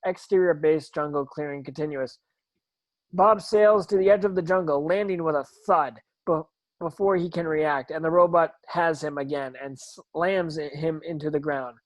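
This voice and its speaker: A man's voice: on the high side, normal speed, dry recording. A man narrating screenplay stage directions in a plain reading, occasionally stumbling over words.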